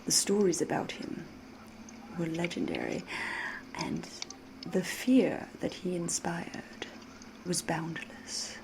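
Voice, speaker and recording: Woman playing a slow, breathy, flirtatious vampire lady. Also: on the low side, poor audio. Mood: ominous storytelling. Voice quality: breathy, soft, often whispering. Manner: coy, deliberate, ominous, theatrical, drawn-out phrasing. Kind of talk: ASMR roleplay, slow whispery storytelling.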